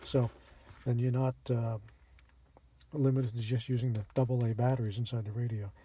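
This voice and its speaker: Mellow, husky man giving a how-to. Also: medium pitch, flat delivery, normal speed. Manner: plain-spoken, explanatory, step by step. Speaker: host of a radio how-to channel. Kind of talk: casual instructional product demonstration.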